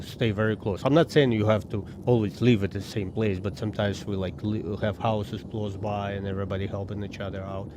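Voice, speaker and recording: Armenian man chatting over game noise. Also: lowish voice. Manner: rambling, repeats words, talks to chat. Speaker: game streamer. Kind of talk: casual live-stream chat while gaming. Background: game sounds.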